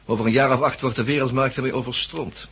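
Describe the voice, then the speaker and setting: A man's voice: medium pitch, fairly even intonation, quick pace, a bit bright, slightly rough. An actor playing a blunt, self-assured, scheming businessman in a 1960s radio drama.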